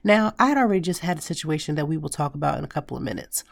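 A woman in her thirties hosting a casual solo podcast, hoarse and raspy from a cold, chatting and telling stories straight to her listeners. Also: sits low, animated, rapid-fire, no room sound, clean studio sound. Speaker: podcast host.

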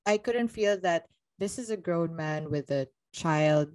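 A woman's voice: fairly low pitch, a little slow, a little mellow, no room sound, clean studio sound. A woman co-hosting a book podcast, chatting casually with blunt, emphatic opinions and a ready laugh.

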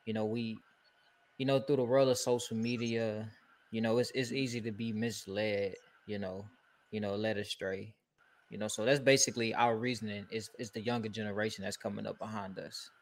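A man's voice: lowish voice, little pitch movement, normal speed. A young man from Houston co-hosting a casual podcast, talking in a laid-back way full of filler phrases. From Houston, Texas. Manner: laid-back, peppers talk with "you know" and "bro". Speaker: podcast co-host.

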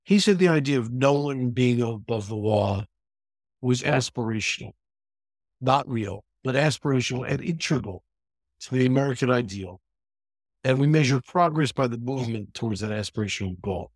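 A man hosting an interview podcast, putting questions deliberately in short, measured phrases. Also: medium pitch, expressive, no room sound, studio quality.

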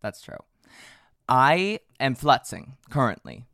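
Hoarse guy co-hosting a podcast, jokey and self-mocking, dry close sound. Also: mid-range voice, big pitch swings, a bit bright, dry recording. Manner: playful, self-mocking, quick asides. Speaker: podcast co-host. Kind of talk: casual chat.

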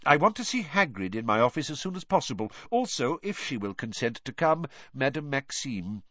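An elderly wizard headmaster acted by a male audiobook reader speaks with grave, courteous authority. His measured, formal delivery rises and falls with lively intonation. The recording is dry and slightly dull. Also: medium pitch, dry recording, pitched above the performer's own voice. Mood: grave, commanding. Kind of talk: audiobook character voice.

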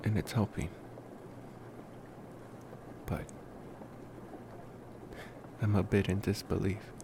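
A man playing a devoted, protective young college vampire in an intimate ASMR roleplay, speaking softly with musing pauses. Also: vocal fry. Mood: tender, nervous. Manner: soft, unhurried, musing with long pauses. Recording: noisy, steady background rumble.

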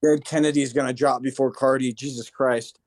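A man's voice: medium pitch, fairly even intonation, moderate pace, dry recording. A man from Serbia chatting casually and jokingly as a podcast co-host, with crude, sweary talk. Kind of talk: casual joking group chat.

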